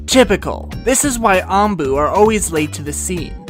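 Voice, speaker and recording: Actress as hot-headed, defensive young guard in anime audio drama. Lowish female voice, music behind. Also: animated, moderate pace, lightly acted. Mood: indignant. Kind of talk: anime fan radio drama acting.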